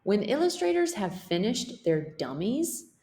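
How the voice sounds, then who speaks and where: Woman, teacher, slow-paced, lively intonation, deliberate children's read-aloud. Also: mid-range voice. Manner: deliberate, expressive reading for children.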